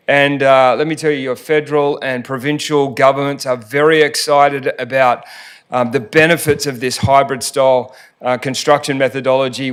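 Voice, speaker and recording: An Australian man, a company CEO, giving a formal presentation to a city council, with frequent ums and restarts. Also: mid-range voice. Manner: hesitant, many ums and restarts. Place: council chamber.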